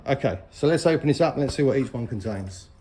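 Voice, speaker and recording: A middle-aged man with a soft, dark-toned voice talks casually through a gear review. He is chatty and plain-spoken, and some background noise sits behind him. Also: medium pitch, lively intonation, fast talker. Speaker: vlogger reviewing motorcycle gear. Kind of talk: casual unboxing and review talk.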